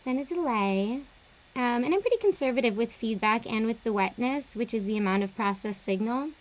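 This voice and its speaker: A young woman producer casually walking through her vocal processing in a home studio, relaxed and chatty with plenty of fillers. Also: fairly high pitch, fairly quick, background noise. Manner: relaxed, explanatory, uses fillers like um and like. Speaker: music producer. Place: small home studio indoors.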